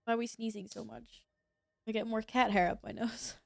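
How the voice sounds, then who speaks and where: A woman's voice: fairly high pitch, little pitch movement, normal speed, creaky, a little mellow, dry recording. A young woman streaming a game, sniffly from sneezing, chatting casually and reacting as she plays. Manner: chatty, reacts to gameplay, reads chat aloud. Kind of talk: casual gaming stream chat.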